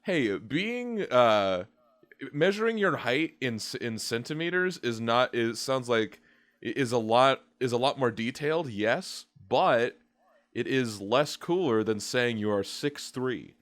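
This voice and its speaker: A man's voice: medium pitch, expressive, unhurried, fairly bright tone. A man in his twenties hosting a casual podcast, rambling and hesitant with many restarts as he asks his questions. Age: 20s. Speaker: podcast host.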